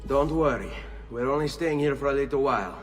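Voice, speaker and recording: A man's voice: mid-range voice, a little fast, faint music behind, lightly acted. An actor playing a headstrong, skeptical young Italian nobleman in a video game, curt in manner, with an Italian accent. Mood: reassuring. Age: young adult. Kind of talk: dramatic video game acting.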